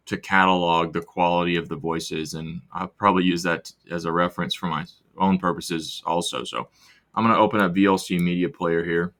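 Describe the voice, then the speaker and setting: A man with a very low voice gives a casual software walkthrough. He talks loosely, restarting sentences as he goes. It sounds like an informal screen recording. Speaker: video host demonstrating software.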